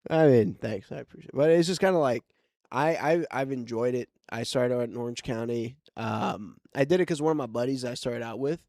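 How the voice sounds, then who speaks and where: Male comic in his twenties, easygoing and quick to laugh, mid-range voice, recorded dry and close. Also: medium pitch, darkish tone, no echo. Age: 20s. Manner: laid-back, laughs easily, casual swearing. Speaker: comedian guest. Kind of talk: casual chat.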